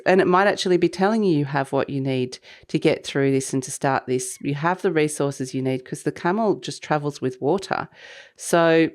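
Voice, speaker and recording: A woman with a slightly croaky voice from a cold, giving a weekly tarot reading to camera in a meandering, reflective way. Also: deep voice. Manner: meandering, reflective, intuitive musing. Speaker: tarot reader.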